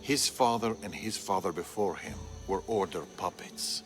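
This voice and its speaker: An actor playing a resolute, guarded older Persian assassin, measured and grave, in a video game drama. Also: male voice, deep voice, over music, natural voice.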